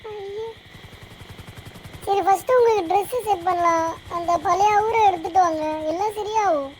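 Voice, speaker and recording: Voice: extremely high pitch, moderate pace. A player chatting casually in character in an online roleplay game. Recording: noisy game voice chat.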